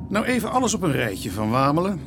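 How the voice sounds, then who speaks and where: Weary police inspector, dry and brisk, slightly high voice, very lively intonation, radio play. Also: male voice, noisy background. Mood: businesslike, dry. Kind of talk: Dutch radio drama acting.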